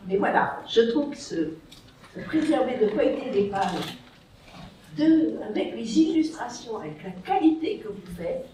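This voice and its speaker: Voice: medium pitch, warm tone. An audience member making an emphatic comment from the floor, with rhetorical asides. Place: large echoey library hall. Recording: echoey hall from far off, faint noise.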